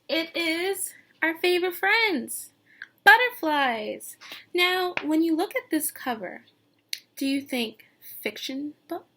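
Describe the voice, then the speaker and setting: A woman teacher reading a picture book aloud to young children, expressive and sing-song, pausing to put questions to them. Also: high-pitched. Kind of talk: read-aloud lesson with questions to young listeners.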